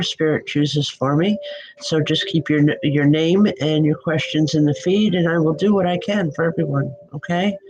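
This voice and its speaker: A woman's voice: low-pitched, darkish tone, a little hiss or hum, rough recording. A woman from the Northeastern US giving a live-streamed spiritual reading as a psychic medium. She has a nasal head cold and talks in a chatty, affectionate, rambling way with many fillers.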